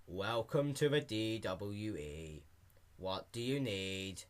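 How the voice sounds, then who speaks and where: A man voicing a bored female pegasus desk clerk, monotone and surly. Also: fairly low pitch, slow, voice pushed down. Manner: monotone, bad attitude.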